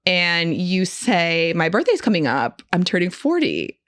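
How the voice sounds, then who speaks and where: A woman's voice: lowish voice, huge pitch swings, unhurried, a bit bright, dry recording. A woman in her thirties, a comedian guest, chatting casually and emphatically, acting out quoted dialogue as she tells stories. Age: late 30s. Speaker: stand-up comedian, podcast guest. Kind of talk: casual chat, storytelling.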